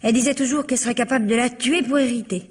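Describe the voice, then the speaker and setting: An actress playing a cheeky, chatty twenty-two-year-old housemaid, defensive and plain-spoken, in an old French radio mystery. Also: female voice, a little high, steady tone, rapid-fire, husky. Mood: frank, gossipy. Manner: colloquial, chatty, naive frankness.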